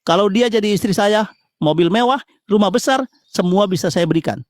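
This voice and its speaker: A man voicing a boastful, self-assured wealthy suitor in an animated drama, with a smug manner. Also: high-pitched, brisk, no room sound, clean studio sound, natural voice.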